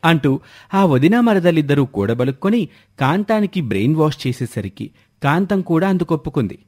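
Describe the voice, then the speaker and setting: A man's voice: a little high, very animated, normal speed, a little mellow. A man reading scripted story narration as a storyteller.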